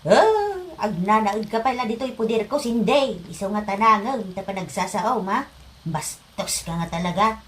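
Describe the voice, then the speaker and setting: A woman's voice: medium pitch, expressive, normal speed, natural voice. A woman playing a reproachful mother in a comedy drama, scolding and admonishing. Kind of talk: Ilocano comedy drama acting.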